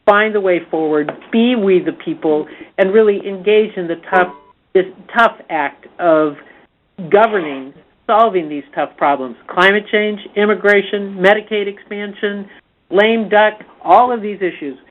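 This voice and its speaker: A woman's voice: medium pitch, unhurried. Woman speaker on a tinny phone line. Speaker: advocacy organization leader. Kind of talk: conference call remarks, informal speech. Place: conference call from a meeting table.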